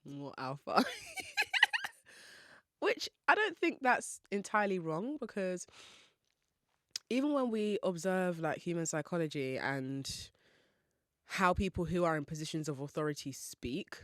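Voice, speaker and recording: A woman's voice: on the low side, very animated, a little slow, long pauses, fairly bright tone, no echo, clean studio sound. Playful woman hosting a podcast. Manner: chatty, playful, asks listeners rhetorical questions, laughs often. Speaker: podcast host. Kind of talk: solo podcast monologue.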